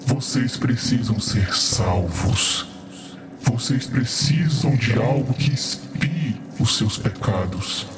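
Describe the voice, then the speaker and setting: Slow, echoing, sinister creature, played by a man. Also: medium pitch, lively intonation, very noisy, pitched below the performer's own voice. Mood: solemn, accusing. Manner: slow, solemn, accusing. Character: great sinister creature whispering accusations and temptation. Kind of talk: horror tabletop roleplay.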